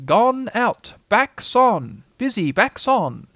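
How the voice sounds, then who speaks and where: A storybook owl with a raised, high voice. He is pompous and nervous, pretending to be wise. His phrases falter and wander as he bluffs. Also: very animated, slow, a bit bright, no room sound, clean studio sound, exaggerated voice. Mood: grave, insistent. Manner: hesitant, faltering, evasive bluffing. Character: nervous pompous owl feigning wisdom, male. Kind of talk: storybook character voice.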